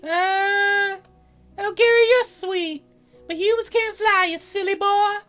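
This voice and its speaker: A woman's voice: very high voice, fairly even intonation, slow pace, a bit bright, faint music behind, voice pushed up, exaggerated voice. A woman voicing a playful, teasing young fairy girl in a visual novel, with a girlish, sing-song delivery. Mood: sweetly apologetic. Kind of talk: visual novel character read in a let's play.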